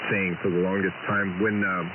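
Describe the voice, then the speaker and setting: A man's voice: low voice, level tone, moderate pace. A man chatting casually and at rambling length as an amateur radio operator, over a radio link. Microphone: ham radio transmission. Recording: noisy narrowband two-way radio with loud hiss.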